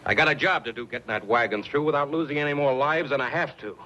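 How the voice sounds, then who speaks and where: A man acting as a seasoned American wagon-train guide in a radio western, blunt and firm. The voice is mid-pitched and bright. The recording is dull with faint noise. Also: quick pace, close to an everyday voice. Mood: firm, defiant.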